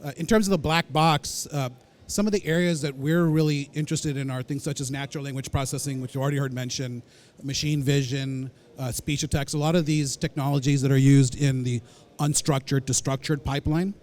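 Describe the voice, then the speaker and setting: A man's voice: mid-range voice, fairly even intonation, brisk, darkish tone. Man explaining tech on a panel. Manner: fluent technical explanation with some uhs. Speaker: panelist, technology executive. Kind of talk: conference panel answer.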